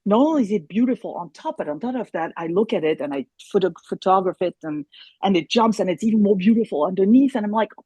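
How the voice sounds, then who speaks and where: A woman's voice: mid-range voice, expressive, quick pace, darkish tone, breathy edge, dry recording. A woman in her sixties, a native German speaker raised in France, telling stories animatedly as a podcast guest, with many restarts. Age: 60s. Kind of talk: casual conversation.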